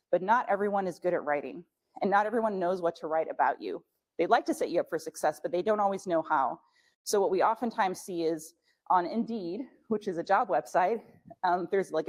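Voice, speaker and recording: A woman's voice: lowish voice, darkish tone. A young woman whose first language is German, giving casual, frank advice at a scholarship workshop with plenty of fillers. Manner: chatty, frank, many fillers.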